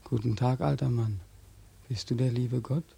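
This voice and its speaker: Casting: despairing young ex-soldier, mellow husky voice, anguished and sardonic, for vintage radio drama in a dry studio. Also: male voice, mid-range voice, steady tone, moderate pace. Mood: dazed, weary. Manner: anguished, accusing, sardonic, rhetorical repetition. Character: bitter, despairing young war veteran, dying in the street. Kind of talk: 1940s German radio drama acting.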